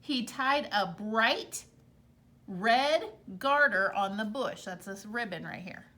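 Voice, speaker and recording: A woman reading a storybook aloud to children like a teacher, expressively, pausing to explain things to her young listeners. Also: medium pitch, expressive, sharp tone.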